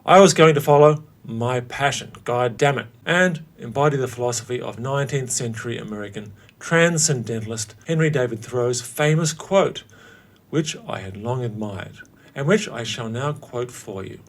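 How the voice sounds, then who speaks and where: A man in his late forties narrating his own story as a host, in a wry, theatrical way with dramatic pauses. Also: medium pitch, animated. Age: late 40s. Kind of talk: scripted personal narration.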